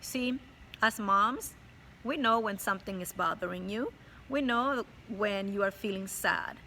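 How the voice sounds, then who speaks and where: A woman's voice: mid-range voice, a little slow, a little hiss or hum. A woman teacher reading a chapter book aloud to children and stopping to explain it to them. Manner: warm, explains to children directly. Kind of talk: read-aloud storytelling with commentary.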